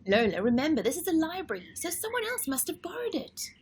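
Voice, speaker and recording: A woman's voice: high voice, very animated, fast talker, a little hiss or hum, voice pushed up. A woman voicing a patient big brother. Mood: firm. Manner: firm, gently scolding. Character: patient older boy, sensible big brother. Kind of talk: storybook read-aloud.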